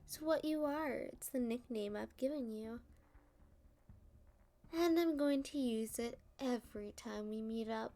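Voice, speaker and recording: Sassy female supervillain for ASMR roleplay, acted by a woman: high-pitched, unhurried, smugly taunting. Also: mellow. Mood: teasing. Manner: teasing, taunting, drawn-out delivery. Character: playful, sassy, smug young female supervillain.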